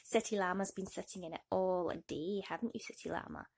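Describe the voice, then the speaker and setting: A woman reading a bedtime story aloud to children in an expressive storytelling style. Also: on the low side, fairly quick, vocal fry.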